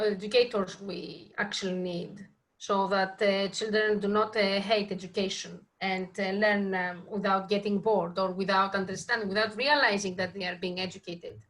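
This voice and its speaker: A woman hosting a casual group discussion, speaking in halting, hesitant phrases. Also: medium pitch, fairly even intonation, a bit bright.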